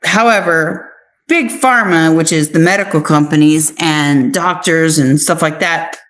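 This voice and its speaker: American woman chatting in an interview, pretty low voice, earnest and emphatic. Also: a little slow, fairly bright tone, poor audio. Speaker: interview guest, actress and author. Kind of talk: conversational interview answers.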